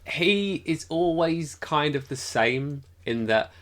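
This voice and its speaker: A man's voice: fairly high pitch, animated, slow, fairly bright tone. A man co-hosting a podcast, chatting casually about a TV show and its fandom in a playful, wry manner. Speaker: podcast co-host.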